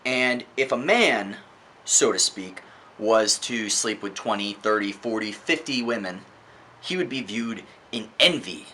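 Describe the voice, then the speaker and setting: A man's voice: lowish voice, unhurried, sharp tone, slight background noise. A man speaking casually and emphatically in an opinionated video monologue as a book-reviewing host, repeating words for effect and swearing freely. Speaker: vlog host reviewing books.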